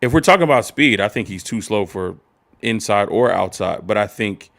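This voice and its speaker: A man co-hosting a casual sports talk livestream, measured and analytical, on a desk microphone. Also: fairly low pitch, animated, vocal fry, fairly bright tone. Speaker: sports show co-host.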